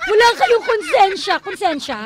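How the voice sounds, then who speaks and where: A woman's voice: very high voice, animated, moderate pace, background noise. A woman hosting a radio show with casual, playful banter and an exclamatory, teasing way of talking. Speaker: radio DJ host. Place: radio studio.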